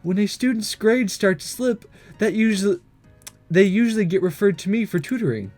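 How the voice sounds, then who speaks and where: Visual novel schoolgirl class representative, read by a man: conscientious, careful, formal, higher-pitched, music behind. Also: expressive, normal speed, a little mellow. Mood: troubled, conscientious. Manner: hesitant, careful, formal wording.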